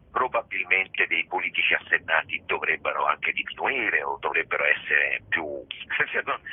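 A man's voice: sits low, husky, no echo. A man, a theatre director talking through his show in a radio interview, reflective and digressive with many restarts, over a phone line. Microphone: telephone.

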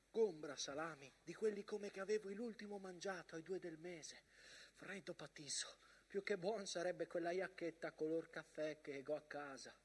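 High-voiced, weary soldier. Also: male voice, bright, forceful tone, full character voice. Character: weary, bitter First World War soldier writing home and remembering. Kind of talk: theatrical monologue with dramatic narration.

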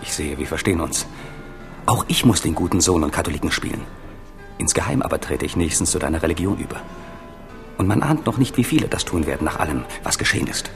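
Low-voiced scheming young prince. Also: male voice, lively intonation, fast, creaky, over music. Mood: conspiratorial. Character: scheming, resentful younger prince, conspiratorial and bitter. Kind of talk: radio play acting.

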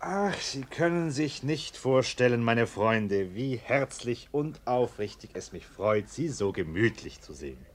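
An actor playing an anxious, eager-to-please middle-class husband hosting guests in a radio play, jovial, blustering and stammering. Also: male voice, medium pitch, sing-song, a little slow, hardly any pauses. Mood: earnest, nervous. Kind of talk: German radio play acting.

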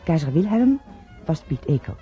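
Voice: mid-range voice, very animated, normal speed, mellow, soft background music. An announcer reading out the credits of a radio play in a scripted style, on a dull recording.

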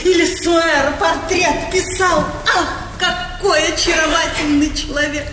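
High, gushing, vain society princess. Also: female voice, fairly even intonation, measured pace, bright, forceful tone, faint music behind, heavily acted. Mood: rapturous boasting. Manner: affected, effusive, peppered with French endearments. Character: gushing, vain aristocratic lady, a princess in 1800. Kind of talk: theatrical stage comedy acting. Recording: echoey theatre hall, noisy 1980s recording.

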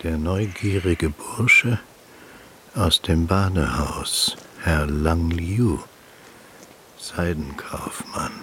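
Shrewd imperial Chinese magistrate, lowish voice, composed, radio play, faint noise. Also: male voice, fairly bright tone. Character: astute male magistrate in old China, composed. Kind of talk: radio drama acting.

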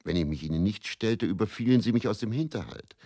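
A very deep-voiced, brooding faith healer. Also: male voice, lively intonation, warm tone, dry recording, clean studio sound. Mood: bitter, tormented. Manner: brooding, confessional, deliberate with rhetorical repetition. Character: weary, self-doubting male faith healer, whisky-drinking travelling showman. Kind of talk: German radio play dramatic monologue.

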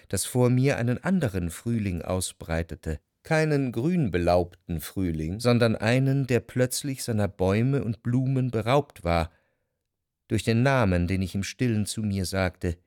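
Dreamy melancholic literary narrator, male, lowish pitch, dry close sound, measured and lyrical, radio play. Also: darkish tone, no echo. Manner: measured, reflective, lyrical delivery. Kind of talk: literary radio drama narration in first person.

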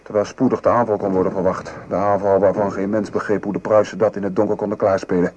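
Man acting a low-voiced, weary artillery sergeant. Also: level tone, fast, darkish tone, close to an everyday voice. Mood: grave, urgent. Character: weary male French artillery sergeant recounting war horrors. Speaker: actor. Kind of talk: radio play acting. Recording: noisy old radio play recording.

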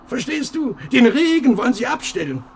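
An actor playing an older husband in a German radio play, fretful and proud, lamenting and rambling in an agitated way. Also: male voice, extremely high pitch, sing-song, unhurried, creaky, slight background noise, full character voice. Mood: bitter, scornful. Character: fretful, proud old husband guarding his life's collection.

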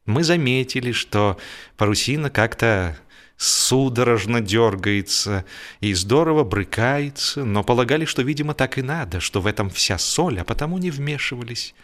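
A man narrating a humorous first-person story expressively, with dramatic pauses and a shifting tempo. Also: mid-range voice, animated, fairly bright tone. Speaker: audiobook narrator. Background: music.

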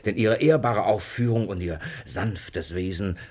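A man's voice: low voice, a little slow, darkish tone. An actor playing a miserly, suspicious old father in a classical comedy radio play, blustering and muttering in a theatrical way. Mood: self-satisfied, sly. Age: older.

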